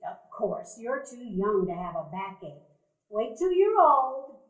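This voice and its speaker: An actress in a live radio play voicing a gentle, thrifty elderly woman who coaxes her young friend along. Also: a little high, slow pace. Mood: coaxing. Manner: fond, coaxing, lively. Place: event hall before a live audience. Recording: echoey hall recording.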